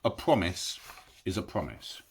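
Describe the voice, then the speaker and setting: A man's voice: low-pitched, very animated, breathy edge, voice pushed up, exaggerated voice. A man doing an impatient, firm hobbit. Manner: brisk, firm, insistent. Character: polite, impatient small male hobbit. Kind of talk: audiobook character voice.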